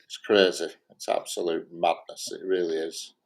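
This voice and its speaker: A man's voice: sits low, little pitch movement, normal speed, creaky. A man with a Lancashire accent chatting casually and bluntly about his opinions, emphatic and often repeating himself. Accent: Lancashire English. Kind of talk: casual opinionated live-stream chat.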